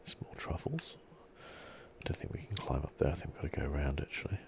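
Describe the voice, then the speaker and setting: Super deep croaky guy doing soft asmr gameplay chat, half whispering, kinda understated, slight bg noise. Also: sing-song. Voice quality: soft-spoken, often slipping into whisper. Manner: unhurried, understated musing to himself. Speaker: gaming channel host. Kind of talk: ASMR video game playthrough commentary.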